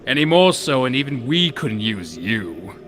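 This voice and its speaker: Sadistic, swooping pony doctor in reverb. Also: male voice, mid-range voice, very animated, measured pace, fairly bright tone, a little hiss or hum. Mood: polite menace. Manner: theatrical mock-courteous, gloating. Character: sadistic male pony doctor, gleeful menacing factory tour guide. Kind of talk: dramatized horror fan fiction reading. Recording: long hall reverb, music behind.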